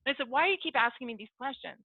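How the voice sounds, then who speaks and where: A woman's voice: high-pitched, expressive, very fast, no room sound. Chatty woman on a tinny call line. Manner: chatty and self-deprecating, acts out both sides of a conversation. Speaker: business networking speaker. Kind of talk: casual motivational talk. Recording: dry, tinny phone-like audio.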